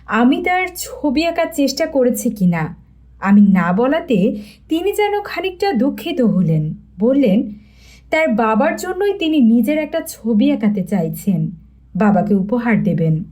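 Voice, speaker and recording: Woman storyteller with an animated, mellow voice. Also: fairly high pitch, unhurried. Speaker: audiobook narrator. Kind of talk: scripted story narration.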